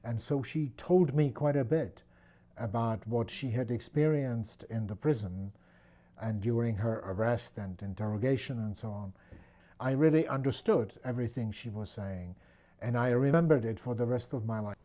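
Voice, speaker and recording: A man in his sixties from the Czech Republic with a Czech accent, speaking thoughtfully in an interview with many pauses and restarts. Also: mid-range voice, dark tone. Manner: thoughtful, with frequent fillers and restarts. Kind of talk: reflective personal interview.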